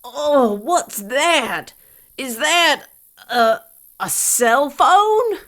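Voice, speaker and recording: A woman's voice: high voice, animated, very slow, a bit bright, full character voice. A woman playing a bemused, matter-of-fact fire chief in an audio drama, sometimes hesitant. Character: bemused, deadpan female fire chief on duty.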